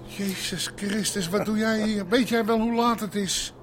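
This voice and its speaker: An actor playing a blustery, foul-mouthed middle-aged underworld boss with an Amsterdam accent in a radio drama. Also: male voice, high voice, steady tone, a little slow, very noisy. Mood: irritated, gruff. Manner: swearing, blustery, coarse. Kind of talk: naturalistic radio drama acting.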